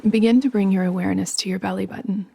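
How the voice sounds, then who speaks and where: Female meditation guide. Soft, husky-breathy, mellow and very even in pitch, with some creak; gentle, unhurried, soothing instruction. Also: dry recording. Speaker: yoga nidra instructor and host.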